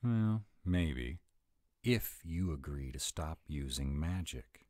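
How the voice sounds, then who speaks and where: A man performs a tsundere male were-dragon in an intimate audio roleplay, with an extremely low voice and an unhurried pace. He grumbles in exasperation yet has a soft, boyish side, and his delivery swings widely in pitch. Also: expressive, no room sound, studio quality, full character voice. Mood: reluctant, exasperated. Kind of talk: audio roleplay acting, addressed to the listener.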